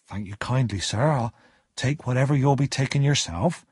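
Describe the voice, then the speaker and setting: A man voicing a humble, awkward, kindly working-class blacksmith in a storybook, speaking slowly and deferentially. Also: medium pitch, a little mellow, slightly rough, no echo, clean studio sound. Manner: slow, deferential, halting.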